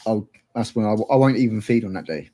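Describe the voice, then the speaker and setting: A man co-hosting a casual chat, relaxed and rambling as he explains things step by step. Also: fairly low pitch, quick pace. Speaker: co-host.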